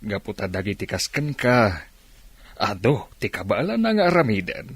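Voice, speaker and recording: A kindly older gentleman in an Ilocano radio drama. His speech swings up and down in a lively way, with a slightly husky grain and an Ilocano accent. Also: on the low side, normal speed, no echo, heavily acted. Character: kindly older gentleman taking in a needy young woman.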